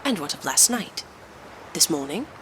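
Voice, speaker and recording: A woman's voice: low voice, big pitch swings, normal speed, noisy background. A woman playing a stern, probing military captain in an audio drama, clipped and authoritative. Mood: commanding. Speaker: voice actor.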